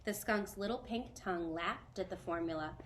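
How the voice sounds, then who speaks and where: A woman teacher reading a children's novel aloud to a student, clear, measured and gentle. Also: lowish voice, slight background noise.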